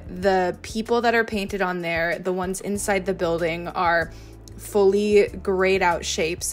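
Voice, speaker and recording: Woman calmly describing a painting. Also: medium pitch. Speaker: friend describing visuals. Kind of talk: descriptive voice-over.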